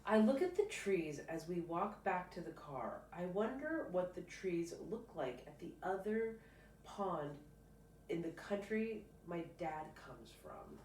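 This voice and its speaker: A woman's voice: on the low side, measured pace. A woman reads a children's picture book aloud expressively, stopping now and then for casual comments of her own. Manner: expressive, stops to comment informally. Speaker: storybook reader. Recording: roomy, distant mic, faint background noise.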